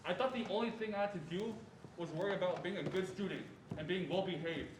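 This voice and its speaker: A reflective, haunted storyteller played by a young man looks back on childhood in reader's theatre. His voice is high for a man and comes from a distance in an echoey room. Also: quick pace, natural voice. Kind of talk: scripted dramatic narration. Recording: echoey room, distant mic, faint noise.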